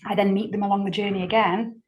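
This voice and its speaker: A woman's voice: mid-range voice, little pitch movement, fast, highs cut off. A woman hosting a podcast, introducing her guest in an earnest, rambling way with many repeated words. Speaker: podcast host. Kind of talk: casual interview chat.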